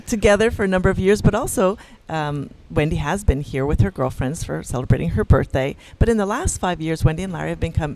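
A man with a fairly high voice hosts a podcast in a chatty, upbeat way. Faint ambient sound sits behind him, and he introduces his guests with easy enthusiasm. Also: a little mellow, a little hiss or hum. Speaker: host. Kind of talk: podcast hosting and ad read. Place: resort in Jamaica.

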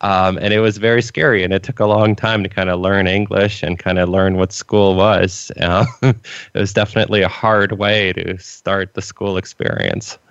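Low-voiced man with a North American accent. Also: moderate pace, creaky, smooth, no room sound. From Poland, raised in Canada. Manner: relaxed, laughs now and then, fillers. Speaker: guest, freelancing coach. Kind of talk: casual interview answers. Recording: dry, dull lo-fi audio.

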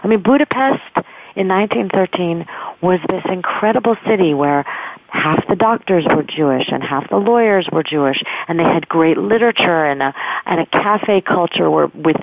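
A woman's voice: fairly low pitch, gravelly, no echo. A woman author being interviewed, talking earnestly and expansively in long, flowing lists. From United States. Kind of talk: phone interview. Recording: dry tinny phone line.

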